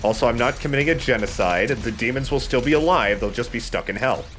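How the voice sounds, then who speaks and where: A man hosting a let's play, giving casual, expressive commentary as he plays a shooter. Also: mid-range voice, quick pace, soft background music. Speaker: let's play host, playing a shooter game. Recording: noticeable background noise.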